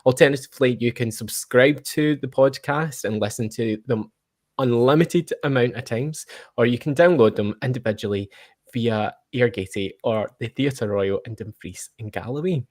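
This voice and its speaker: A Scottish man hosting a casual live chat in an excitable, chatty manner. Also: mid-range voice, normal speed, no room sound, studio quality. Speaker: podcast host and audio drama producer.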